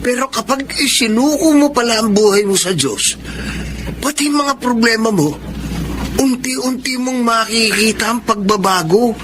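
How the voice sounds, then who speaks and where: A man's voice: very high-pitched, a little slow. A man playing an earnest, reformed troublemaker and devoted son in a radio drama, with a Filipino accent. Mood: earnest, reflective. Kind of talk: Filipino radio drama acting and reflective narration.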